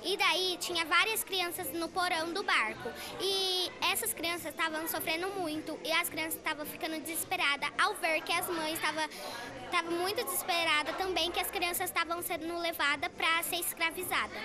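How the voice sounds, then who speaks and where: A young student earnestly retelling a story in an interview. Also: female voice, very high-pitched, steady tone, a little fast, over music. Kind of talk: interview answer.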